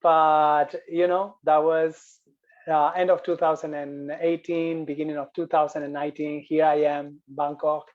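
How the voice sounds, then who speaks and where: A man's voice: fairly high pitch, level tone, normal speed, phone-quality sound. A man from Spain with a Spanish accent, a yoga business coach telling his story as a guest over a video call, often repeating and restarting phrases.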